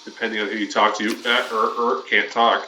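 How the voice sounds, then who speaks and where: A man's voice: on the low side, little pitch movement, fairly quick, pushed and bright. A young man streaming a game, chatting casually with a crude, teasing humor and laughing at his own jokes. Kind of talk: casual joking chat while gaming. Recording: roomy, distant, noisy home stream.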